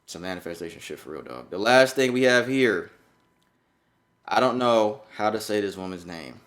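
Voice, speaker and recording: A man's voice: fairly low pitch, expressive, moderate pace, fairly bright tone. A young adult man from Jamaica, raised in New York, co-hosting a podcast in loose, profane casual chat. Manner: loose and profane, riffing on a viral song. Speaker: podcast co-host.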